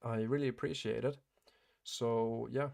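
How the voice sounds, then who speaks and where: Male trading streamer, hoarse and gravelly, flat matter-of-fact delivery, frequent throat clearing. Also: medium pitch, a little slow. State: hoarse, losing his voice. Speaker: day trader hosting a livestream. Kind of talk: live trading commentary.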